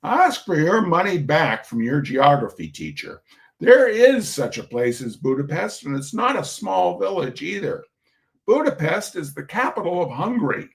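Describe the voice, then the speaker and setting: A man's voice: fairly high pitch, very animated, moderate pace, gravelly. A man playing an indignant letter writer from a comic essay, emphatic and condescending.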